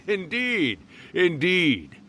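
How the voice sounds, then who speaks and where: An actor playing a mighty, mischievous old fox spirit in a video game, with a playful, breezy, self-assured storytelling manner. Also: male voice, sits high, very animated, drawn-out words, sharp tone, heavily acted. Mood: playful, boastful. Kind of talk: animated video game character acting.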